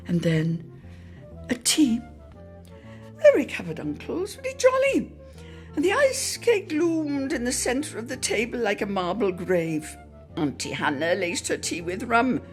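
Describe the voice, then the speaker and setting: A woman giving an expressive, theatrical dramatic reading of a literary story for radio. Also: mid-range voice. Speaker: dramatic reader.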